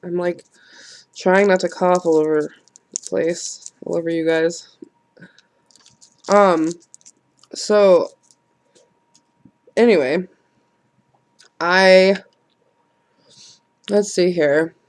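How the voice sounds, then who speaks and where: A woman's voice: on the low side, fairly even intonation, drawn-out words, long pauses. A woman, congested and nasal from a cold, rambling frankly through a casual vlog, swearing and laughing at herself. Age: late 20s. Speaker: vlogger.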